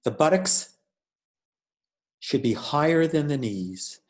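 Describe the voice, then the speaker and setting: Slow-paced man guiding a meditation. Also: a little high, expressive. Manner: measured, deliberate, with pauses between phrases. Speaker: meditation teacher. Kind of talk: guided meditation instruction.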